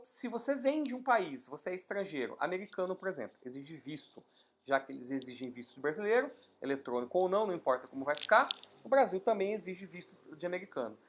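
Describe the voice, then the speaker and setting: A woman teaching an exam-prep law class, explaining step by step and posing rhetorical questions with lively emphasis. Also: lowish voice. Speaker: law instructor. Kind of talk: exam-prep legal lecture.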